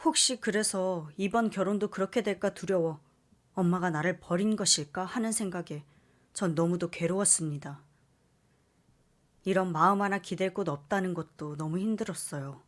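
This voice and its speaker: A woman narrating a scripted first-person story with an expressive, dramatic delivery. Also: on the low side, normal speed.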